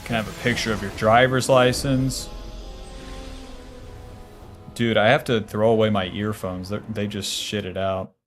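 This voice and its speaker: A man's voice: fairly low pitch, little pitch movement, unhurried, pauses often, faint music behind. A man in his twenties streaming a game, talking casually and bluntly, cursing freely and answering chat questions aloud. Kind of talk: casual livestream chat while playing.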